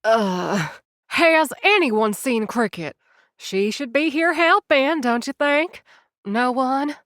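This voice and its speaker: A woman's voice: high-pitched, expressive, takes it slowly, a bit bright, no echo, studio quality, exaggerated voice. A woman playing a sarcastic, flustered schemer who narrates a comedic audio drama. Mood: flustered, coaxing. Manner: wry, improvising, awkward.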